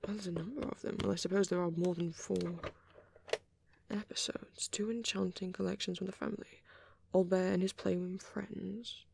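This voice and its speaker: A man doing a soft, often whispered ASMR roleplay as a shy, gentle young vampire boyfriend, rambling hesitantly in a breathy, husky voice. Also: high voice, fairly even intonation, moderate pace.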